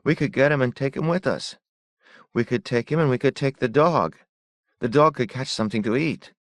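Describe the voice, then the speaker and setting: A man's voice: mid-range voice, moderate pace, dry recording, studio quality, pitched above the performer's own voice, lightly acted. A man reading aloud and voicing a frightened young boy who pleads through tears. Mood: pleading. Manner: pleading, sobbing.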